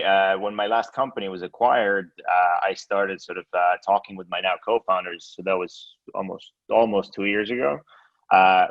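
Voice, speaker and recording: A man from Estonia talks in a very low voice, stopping and restarting his sentences and filling the gaps with "uh" and "um". The sound is dull and lo-fi, and the pitch stays deep throughout. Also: fairly bright tone. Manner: hesitant, many fillers and restarts. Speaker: guest, exchange co-founder. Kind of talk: casual interview.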